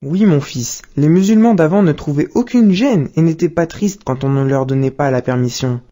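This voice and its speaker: Cartoon dad, played by a woman: wise, patient, devout, measured teacher's delivery, lowish mellow tone. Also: lightly acted. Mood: calm, instructive. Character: calm, wise, pious father advising his young son. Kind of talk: didactic cartoon dialogue.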